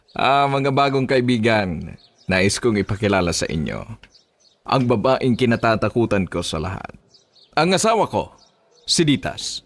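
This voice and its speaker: A man's voice: mid-range voice, sing-song, slow, pauses often, heavily acted. A man playing an affable, confident monster slayer and blade seller in a horror audio drama. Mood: amused.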